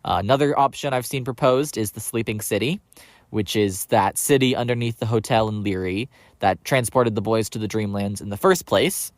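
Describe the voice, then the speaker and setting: A man's voice: on the low side, normal speed, no room sound, studio quality. A man recording a listener voice message as a prepared, explanatory monologue.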